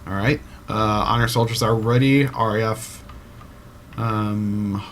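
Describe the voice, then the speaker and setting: A man giving live play-by-play commentary on an online shooter match, getting excited at kills and stumbling over player names. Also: fairly low pitch, slow pace, a little hiss or hum. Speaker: esports shoutcaster. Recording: loud game music and gunfire behind.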